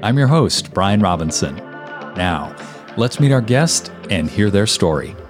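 A male podcast host who reads a scripted intro and asks polite, measured questions. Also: lowish voice, very animated, over loud music.

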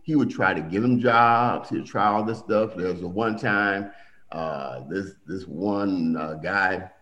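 Middle-aged guy telling stories about his dad, lowish voice, gets loud and lively when he quotes people. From Milwaukee. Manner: animated storyteller, acts out quotes with big pitch jumps. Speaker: guest telling family stories. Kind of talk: casual storytelling conversation.

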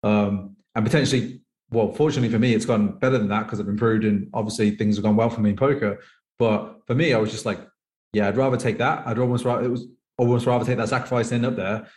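A man's voice: on the low side, fairly even intonation, fast talker, a little mellow. A man in his thirties from the UK, a poker player being interviewed who chats casually and rambles with lots of "like". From United Kingdom. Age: 30s. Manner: rambling, heavy use of "like". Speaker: professional poker player guest. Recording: echoey room.

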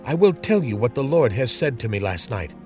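A man's voice: mid-range voice, very animated, fairly quick, faint music behind, pitched above the performer's own voice, close to an everyday voice. A man voicing a stern, unwavering old prophet who rebukes a king with firm, solemn pronouncements. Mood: rebuking. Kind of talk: storybook drama reading.

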